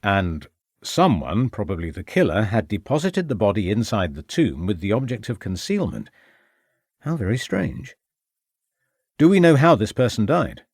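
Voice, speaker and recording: Spoken by a man, a composed Victorian gentleman detective, measured and inquisitive. His voice is low and slightly husky, in a dry, close sound. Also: very animated, dry recording, heavily acted.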